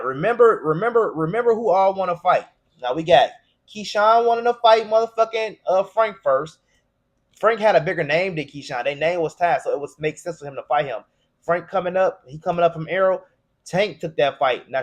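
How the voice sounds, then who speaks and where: Casting: American male, slightly high-pitched voice, argumentative sports pundit, slangy and heavily profane, unscripted rant style. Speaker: boxing commentator. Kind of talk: opinionated casual rant.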